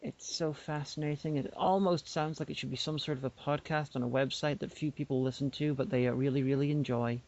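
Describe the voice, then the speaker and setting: A woman's voice: low voice, fairly even intonation, fairly quick, few pauses, darkish tone. A woman co-hosting a podcast, playful and rambling, often restarting her sentences in casual chat. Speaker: podcast co-host. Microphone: remote call microphone. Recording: dull lo-fi online call audio.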